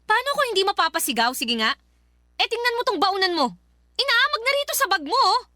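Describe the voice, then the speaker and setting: A woman's voice: very high-pitched, big pitch swings, fast talker, bright, forceful tone, no echo, clean studio sound, exaggerated voice. A woman playing an exasperated young mother in a radio drama, scolding her partner with sarcasm in a Manila Tagalog accent. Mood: disgusted nagging. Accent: Filipino, Manila Tagalog. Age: young adult. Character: exasperated 29-year-old mother nagging her messy live-in partner.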